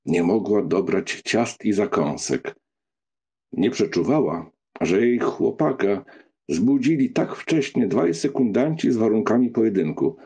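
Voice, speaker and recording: A man reading a literary novel as an audiobook narrator, with an expressive storytelling delivery. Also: fairly low pitch, slightly rough.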